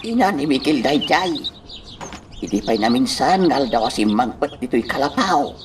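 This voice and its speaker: A man's voice: mid-range voice, big pitch swings, moderate pace, natural voice. A man with an Ilocano accent playing a grave older village father figure in a radio drama. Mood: reproving. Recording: distant mic, noisy, birdsong behind.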